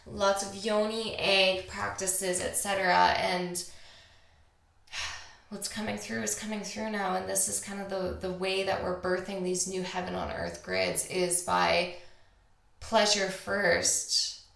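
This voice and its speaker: Slightly raspy, creaky-voiced woman podcaster. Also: mid-range voice, fairly bright tone. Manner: confiding, unscripted, reflective with drawn-out pauses. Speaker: podcast host. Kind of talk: intimate solo spiritual podcast talk. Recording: echoey, roomy sound.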